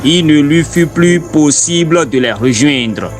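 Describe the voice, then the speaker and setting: A man with a fairly high voice narrates a parable as a preacher would. Prominent music plays behind his storytelling. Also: lively intonation, slow.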